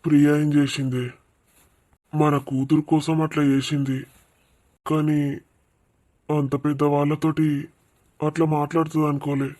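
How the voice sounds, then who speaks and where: A man's voice: on the high side, little pitch movement, normal speed, long pauses, mellow, close to an everyday voice. A man playing an exasperated middle-aged father in a cartoon. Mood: exasperated, scolding. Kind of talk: animated cartoon dialogue.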